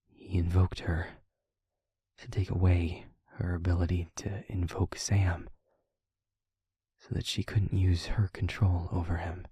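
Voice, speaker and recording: Casting: a man to play a gentle, protective vampire boyfriend for audio roleplay; low, soft, husky near-whisper, earnest and intimate. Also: dry recording, clean studio sound, full character voice. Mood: grave, confessional. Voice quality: breathy, husky, half-whispered. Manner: slow, halting, tender, earnest delivery. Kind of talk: intimate audio roleplay, ASMR-like scripted acting.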